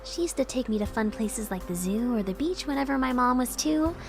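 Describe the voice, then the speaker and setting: A woman's voice: on the high side, animated, a little fast, vocal fry, background noise. A woman playing a playful, teasing young woman in a visual novel game, with music behind her. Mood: casual, wistful.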